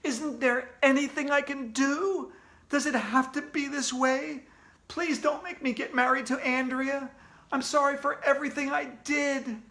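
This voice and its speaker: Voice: sits high, fairly even intonation, unhurried, bright, forceful tone, voice pushed up, exaggerated voice. A reader voicing a panicking schoolboy who pleads and whines in a raised, strained voice. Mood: desperate pleading. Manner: pleading, whining.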